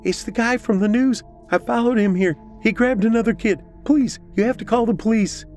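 A man's voice: sits high, normal speed, a little mellow, soft background music, pitched above the performer's own voice, close to an everyday voice. A man voicing a deceitful monster posing as a breathless, pleading young woman in a horror story. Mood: feigned fear.

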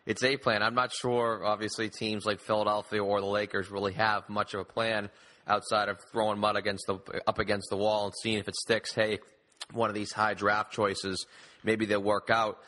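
A man with a fairly low but bright, forceful voice hosts a sports talk show. He debates in a conversational, opinionated way. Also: flat delivery, normal speed. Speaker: sports podcast host.

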